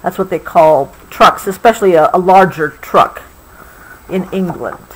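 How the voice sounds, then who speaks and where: Slow, expressive American woman reading aloud. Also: medium pitch, husky, poor audio. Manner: expressive, explanatory, patient with interruptions. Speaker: mother reading a picture book aloud to a child. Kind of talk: read-aloud storytelling with casual explanations to a child.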